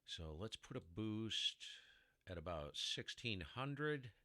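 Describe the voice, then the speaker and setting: A man with a very low baritone voice hosting a casual ham radio tutorial, rambling and sidetracking as he explains, recorded in a heavily dampened room. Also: animated, slow, sharp tone, dry recording, studio quality. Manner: rambling, self-interrupting explainer with mic tests. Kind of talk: casual how-to demonstration.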